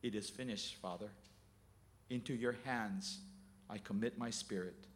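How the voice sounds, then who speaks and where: A man voicing a suffering teacher who speaks in a low, subdued, solemn way. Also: animated, normal speed, slight background noise, close to an everyday voice.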